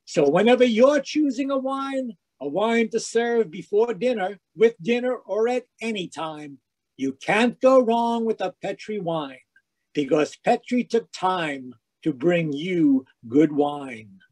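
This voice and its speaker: A man playing an eager, cheery 1940s radio announcer and sponsor pitchman. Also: animated, slow, darkish tone, exaggerated voice. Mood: salesmanlike.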